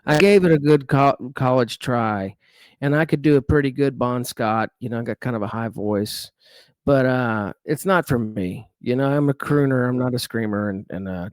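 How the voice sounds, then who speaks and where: A man's voice: mid-range voice, no room sound. A middle-aged man from Texas with a Texan accent, a guest musician chatting easily in an interview, rambling with fillers and restarts. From Dallas, Texas. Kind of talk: casual interview chat.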